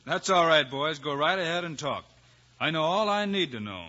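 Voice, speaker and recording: An actor playing a calm, confident cowboy marshal hero in an old radio western, speaking coolly and with assurance. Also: male voice, fairly high pitch, moderate pace. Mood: confident, determined. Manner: cool, clipped, assured Western talk. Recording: muffled, hissy old radio recording with clicks.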